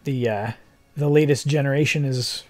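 A young adult man who streams games, chatting casually in a rambling, technical way, with a slightly hoarse voice. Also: medium pitch, fairly even intonation, moderate pace, a little mellow. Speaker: game streamer. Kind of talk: casual livestream chat. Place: living room, seated in armchair indoors.

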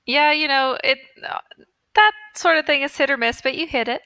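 A woman's voice: high-pitched, normal speed, bright, forceful tone, dry recording, soft background music. A woman co-hosting a podcast in casual, joking chat. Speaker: podcast co-host.

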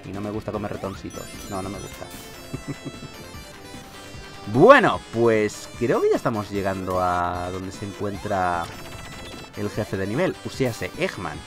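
A man's voice: on the low side, sing-song, a little slow, long pauses. Chatty Spanish man over game music. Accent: Peninsular Spanish. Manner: chatty, joking, mildly sweary. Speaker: gaming video host. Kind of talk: casual Let's Play commentary. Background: video game music.

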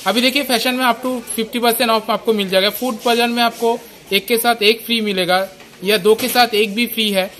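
A man in his thirties, a store manager, pitching the day's offers in an on-camera interview inside a large shop, eager and rambling, into a handheld mic. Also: fairly even intonation, normal speed, a bit bright, slight background noise. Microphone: handheld reporter mic.

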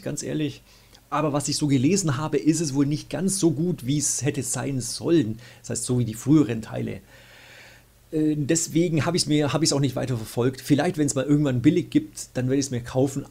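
Gravelly-voiced young man chatting casually. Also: medium pitch, mellow. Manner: chatty, thinking aloud with fillers, reading out viewer questions. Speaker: host answering viewer questions. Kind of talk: casual chat. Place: small room indoors, at a desk.

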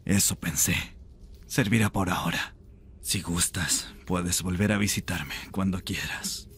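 A man voicing a chained rebel mage in an ASMR roleplay, intense, seductive and tender, with a breathy, gravelly voice. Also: deep voice, a little hiss or hum. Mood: warm, wry.

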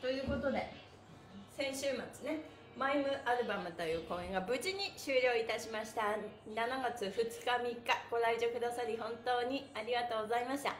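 A middle-aged woman instructing a stretch class in a cheerful, encouraging and animated way, with playfully polite phrasing and chanted counts. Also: fairly high pitch, brisk, faint music behind. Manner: animated, calls out while moving through exercises. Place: small bare indoor room with mat. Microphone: camera a few metres away. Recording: roomy, distant mic with background noise.

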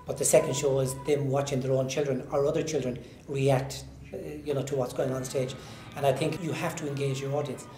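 Even, mellow man, echoey room. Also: medium pitch, a little fast. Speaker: pantomime society member. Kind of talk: interview talk. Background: faint music.

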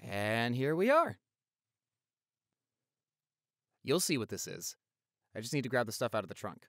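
A man's voice: mid-range voice, big pitch swings, a little fast, a bit bright. A man playing an affectionate doctor boyfriend in his forties, talking casually and a little hesitantly in an intimate audio roleplay. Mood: gently excited. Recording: car ride ambience behind the voice.

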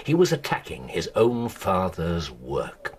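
An actor playing an incisive, commanding British detective in a radio drama, with precise, theatrical delivery and dramatic pauses. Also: male voice, sits low, huge pitch swings, full character voice. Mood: stern. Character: incisive, commanding male detective laying out a case. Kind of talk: BBC radio drama acting.

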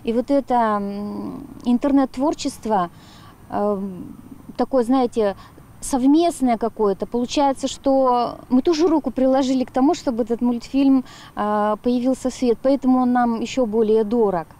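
A woman's voice: fairly high pitch, moderate pace, warm tone. A middle-aged woman giving interview answers outdoors into a reporter's handheld microphone, searching for her words. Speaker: blog author being interviewed. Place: outdoors, grassy yard by apartment blocks.

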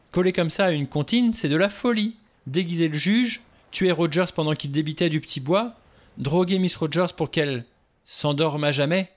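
A man reading an audiobook, voicing a frightened young woman who speaks in a high, strained, urgent way. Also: lightly acted.

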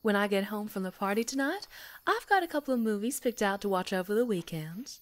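A woman's voice: medium pitch, expressive, a little fast, lightly acted. Actress as sweet, skittish young woman for ASMR roleplay. Chatty, girlish, mid-range voice. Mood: friendly, chatty.

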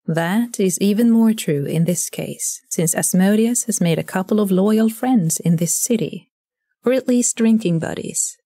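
A woman's voice: mid-range voice, measured pace, warm tone, dry recording, studio quality. A woman narrating a scripted story as a storyteller for an audio drama.

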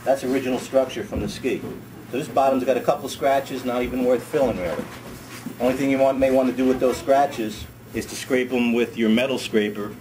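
A middle-aged man, a ski school director, demonstrating a hands-on task in a wood-paneled workshop, talking in a relaxed, chatty way and repeating words as he works. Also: mid-range voice, fairly quick. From United States. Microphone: camera mic on a workbench. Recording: camera audio with steady background noise.

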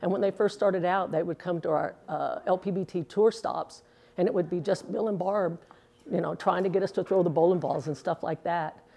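A woman's voice: on the low side, fairly even intonation, normal speed, vocal fry, mellow. A woman in her sixties, a former pro bowler, chatting earnestly in an interview, with filler words, recorded indoors on a lapel mic. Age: 60s. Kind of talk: casual interview answers. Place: indoors in a bowling alley.

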